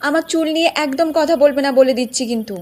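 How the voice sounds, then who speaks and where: Woman acting a vain, high-voiced young village wife. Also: rapid-fire, a little mellow, natural voice. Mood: indignant, scolding. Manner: boastful, scolding. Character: vain, haughty young village wife obsessed with her hair. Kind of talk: Bengali cartoon folktale acting.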